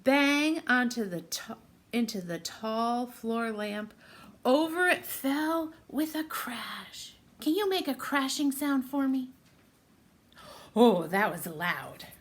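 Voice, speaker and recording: A woman's voice: fairly high pitch, slow pace. A woman reading a picture book aloud expressively to young children, putting simple questions to her listeners. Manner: expressive, simple teacher-like phrasing addressed to children. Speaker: read-aloud storyteller for children.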